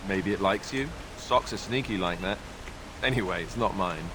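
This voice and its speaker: An actor plays a young man, a templar warrior in a fantasy video game. He is flippant yet sheepish, stumbling through his jokes in a fairly low voice. The recording has noticeable hiss. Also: moderate pace, lightly acted. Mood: sheepish joking. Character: awkward, joking young male templar warrior. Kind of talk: video game dialogue acting.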